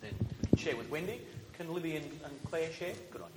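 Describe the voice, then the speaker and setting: A man's voice: fairly high pitch, a little fast. An Australian man moderating a panel discussion at a public forum. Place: large indoor hall, public forum. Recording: echoey hall with background noise.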